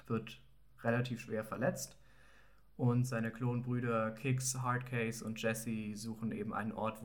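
A man co-hosting a podcast, chatting casually about a TV episode and explaining background with filler words. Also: on the low side. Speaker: podcast co-host.

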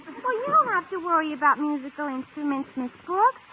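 A woman's voice: high-pitched, sing-song, a little fast. A woman playing a scatterbrained, guileless teenage schoolgirl, earnest and ungrammatical, in a 1950s radio sitcom. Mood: eager, puzzled. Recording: muffled, noisy old-time radio broadcast.

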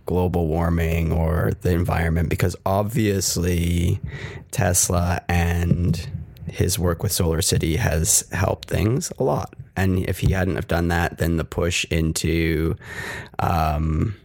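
A man's voice: deep voice, flat delivery, takes it slowly, creaky, a little mellow, no echo. A man co-hosting a casual tech podcast, rambling and ranty, swearing now and then. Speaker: podcast co-host. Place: New York. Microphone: close mic with pop filter.

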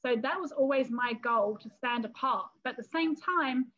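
High-voiced woman with a London-area English accent. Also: moderate pace, fairly bright tone, muffled recording. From England, London area. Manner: chatty, laughs often, quotes herself in little scenes. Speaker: cake artist and business owner, guest. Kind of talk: casual interview talk.

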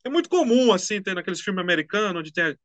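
Chatty high-voiced man. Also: racing, no room sound. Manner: relaxed, slangy, chatty. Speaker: musician guest. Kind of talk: casual interview storytelling.

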